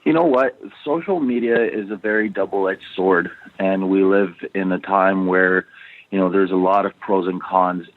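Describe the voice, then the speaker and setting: Polish-born musician guest, low voice, chatty and loose, phoned in. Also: male voice, no echo. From Poland. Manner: rambling, many "you know" fillers. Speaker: bassist and backing vocalist, guest. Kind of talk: casual interview answers. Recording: tinny phone line, dry.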